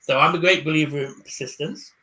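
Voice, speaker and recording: A man on a video call, giving casual career-book advice, often stumbling over repeated words before rushing ahead. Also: on the high side, sharp tone. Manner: hesitant, repeats words, then speeds up. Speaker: career coach guest speaker. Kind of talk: casual chat on a live online panel.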